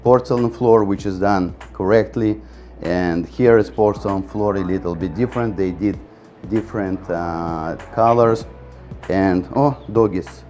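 A middle-aged man with a fairly low, mellow voice speaks in as he leads a walk-through of a condo. His talk is chatty and full of repeats, and he keeps calling the viewers "guys." Soft background music plays faintly under him. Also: unhurried. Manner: chatty, repeats "guys" and "again", non-native grammar. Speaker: real estate agent. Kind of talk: walk-through property tour. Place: bright condo, indoors and on an ocean balcony.

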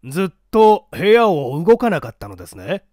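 Male reader as police investigator, formal, inquisitive, slightly high, animated, dry recording, mystery audiobook. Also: normal speed, no echo. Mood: probing.

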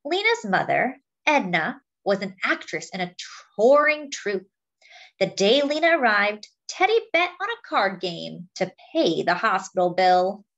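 A woman reading a children's picture book aloud as a story-time host, with deliberate phrasing for young listeners. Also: mid-range voice, big pitch swings, fairly bright tone, lo-fi sound.

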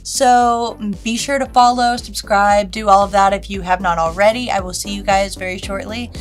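A woman's voice: medium pitch, steady tone, normal speed, faint music behind. A woman in her thirties chatting casually and enthusiastically to camera in slangy, informal language as a hobby video host. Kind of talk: casual vlog chat to camera. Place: small indoor hobby studio room.

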